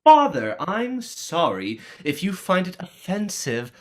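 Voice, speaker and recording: A man's voice: sits high, very animated, slow pace, slight background noise, exaggerated voice. A man playing a defiant, sarcastic teenage schoolboy with a cheeky, melodramatic manner in a play reading. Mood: mock offended. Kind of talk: dramatized play script reading.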